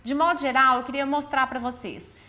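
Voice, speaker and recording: A high, bright female voice with a Brazilian Portuguese accent. She explains a project informally, like an architect walking viewers through her work. Her delivery is chatty and peppered with affectionate diminutives. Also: fairly even intonation, fairly quick. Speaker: architect presenting her interior design project.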